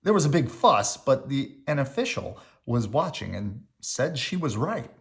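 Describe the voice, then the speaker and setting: A reader narrating a children's picture book aloud in an expressive storytelling style. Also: medium pitch, normal speed.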